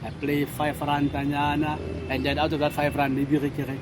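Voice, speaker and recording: A South African man chats in a casual outdoor interview, sliding easily between Sesotho and English. His voice sits a little high. Loud street and traffic noise fills the background. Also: completely flat delivery, moderate pace.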